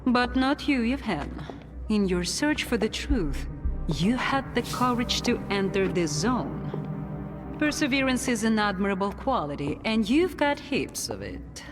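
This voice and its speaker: An actress playing a coolly persuasive, calculating woman of authority in a video game, speaking in a measured, politely menacing way. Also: a little high, big pitch swings. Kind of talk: video game cutscene acting. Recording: long hall reverb, music underneath.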